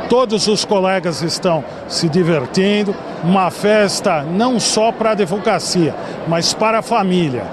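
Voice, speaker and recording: Sao paulo guy in his 40s, formal official tone, kinda high voice, noisy party hall. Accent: Brazilian Portuguese, São Paulo. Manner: formal, measured, speech-like. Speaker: bar association culture director, guest. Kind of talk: TV interview answers. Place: large indoor ballroom party. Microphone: handheld mic held by interviewer. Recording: noisy ballroom.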